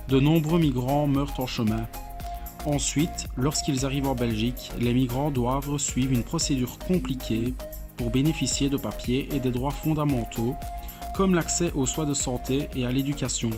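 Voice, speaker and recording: A man's voice: mid-range voice, moderate pace. A young adult man reading scripted narration as a student narrator. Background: music.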